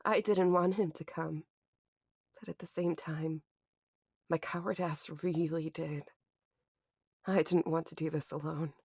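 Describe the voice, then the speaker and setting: A weary young survivor in a horror audio drama. She speaks slowly and rather low, measured and raw, with fearful defiance in a close, dry sound. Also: fairly even intonation, a little mellow, breathy edge, dry recording, heavily acted. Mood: grim resolve. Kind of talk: horror drama acting and first-person narration.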